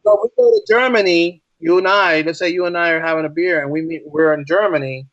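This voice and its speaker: A man's voice: fairly high pitch, brisk, a bit bright, dry recording, lo-fi sound. An American man talking as a teacher being interviewed, making his points emphatically with repeated phrases and rhetorical questions. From United States. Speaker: podcast guest, teacher. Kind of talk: conversational interview.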